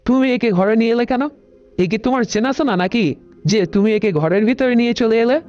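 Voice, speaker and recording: A woman's voice: on the high side, fairly quick, darkish tone, dry recording, muffled recording, natural voice. A woman playing an older, bossy housewife and mother in a cartoon story, with an emphatic manner. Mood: nagging, annoyed. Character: older mother of a grown son; nagging landlord's wife.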